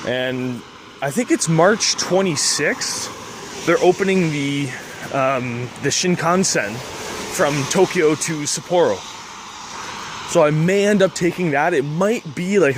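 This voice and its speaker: Young man vlogging on a city street, laughs a lot, voice sits a bit high, heavy street noise. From Canada. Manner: rambling, laughs often, lots of "like". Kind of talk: casual walking vlog. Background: street traffic.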